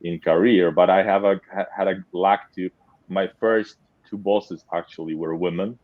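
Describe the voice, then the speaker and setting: A man from Poland answers as a webinar panel guest in a low voice, halting and repeating words as he goes. The sound is dull and dry. It has a slightly lo-fi quality, with no room around it. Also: steady tone, no echo. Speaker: panel guest, tech company leader.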